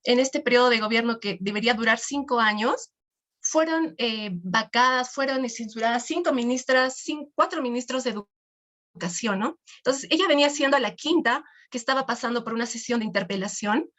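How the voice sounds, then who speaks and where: Halting Peruvian woman on a video call. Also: fairly high pitch, fairly bright tone. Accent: Peruvian Spanish. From Peru. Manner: halting, frequent "este" fillers and "¿no?" tags. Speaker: researcher presenting at a seminar. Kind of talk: academic presentation over video call.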